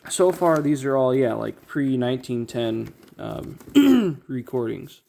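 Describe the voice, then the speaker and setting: Male collector-host, mid-pitched and mellow, off-the-cuff unboxing commentary, halting and thinking aloud. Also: big pitch swings, gravelly, a little hiss or hum. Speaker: record collector, video host.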